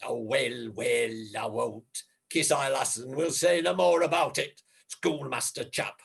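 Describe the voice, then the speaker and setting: An actor playing a jealous, blustering young Yorkshire farmer with a broad Yorkshire accent and a husky voice. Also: male voice, medium pitch, steady tone, unhurried, full character voice. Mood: sheepish. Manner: bluff, blustering, shouting at times.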